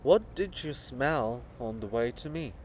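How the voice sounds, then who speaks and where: Give the voice of a brooding old poet cast as a living monument, acted by a man reading a play. He is solemn and fatherly, weighing each phrase slowly and searchingly. A light noise sits behind the voice. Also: medium pitch, lively intonation, a little mellow, lightly acted. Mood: probing, brooding.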